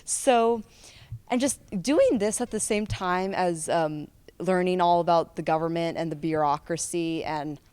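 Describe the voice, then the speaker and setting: A woman's voice: low voice, darkish tone, clear, resonant tone. A young woman in her late teens, a student actor answering casually and earnestly in an interview.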